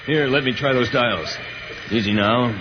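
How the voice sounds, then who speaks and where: An actor playing a calm, heroic space troubleshooter in a vintage radio serial, measured and authoritative in his explanations. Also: mid-range voice, natural voice. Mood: intent, curious. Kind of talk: 1950s radio serial acting. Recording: muffled, noisy old radio recording.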